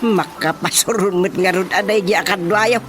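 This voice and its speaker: A woman playing an excitable, easily astonished family member in an Ilocano radio comedy, with an Ilocano accent. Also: medium pitch, moderate pace, slight background noise, close to an everyday voice. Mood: astonished, curious. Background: music.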